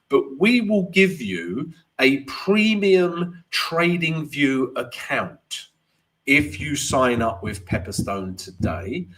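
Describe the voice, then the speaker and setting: A man talking through charts on a live stream, his voice stuffy and nasal from a cold. He is chatty and casual, calling his viewers guys and tagging his points with a quick "right?". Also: medium pitch, a bit bright, low-quality recording. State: congested, stuffy nose. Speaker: trading livestream host.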